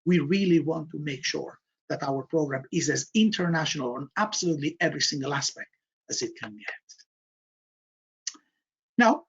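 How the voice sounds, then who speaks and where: A man's voice: fairly high pitch, normal speed, vocal fry, long pauses, muffled recording, a little hiss or hum. A man from Spain with a Spanish accent, presenting a programme in an online information session, with frequent fillers and emphatic repeated words. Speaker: MBA programme director.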